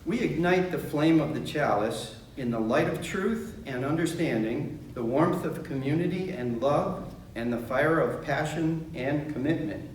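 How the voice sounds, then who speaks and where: Slow-paced man in a very echoey room. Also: on the high side. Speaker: board member and worship associate. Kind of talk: addressing a congregation, reciting liturgy. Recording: very echoey room, distant mic, faint noise.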